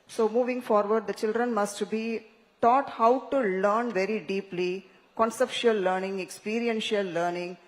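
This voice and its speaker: A woman's voice: medium pitch, steady tone, a little slow. A woman with an Indian English accent giving formal, earnest remarks as a summit panelist. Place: large conference hall. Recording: reverberant hall.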